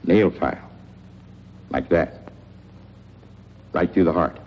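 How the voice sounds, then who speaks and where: A man's voice: low-pitched, slow. An actor playing a folksy small-town newsman, wry, chatty and teasing, in 1940s radio drama style. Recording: muffled, noisy old radio recording.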